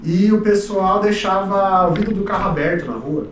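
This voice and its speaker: Relaxed guy in his thirties, slightly high voice, chatty and jokey; mic distant in a very echoey room. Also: moderate pace, background noise. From São Paulo, Brazil. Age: 30s. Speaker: guest speaker, communication coach. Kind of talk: casual storytelling interview.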